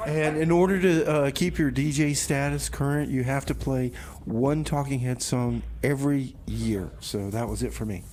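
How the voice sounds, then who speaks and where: A man's voice: medium pitch, normal speed, a little mellow. A man hosting a music radio show in an informal, conversational way as its DJ. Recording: clear background noise.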